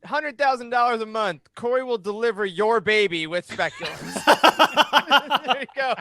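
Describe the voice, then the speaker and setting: An American man chatting casually on a roundtable podcast in a jokey, animated way, acting out quotes. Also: extremely high pitch, a little slow, dry recording. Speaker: podcast panelist. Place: home studio, remote video call. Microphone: close studio mic on boom arm.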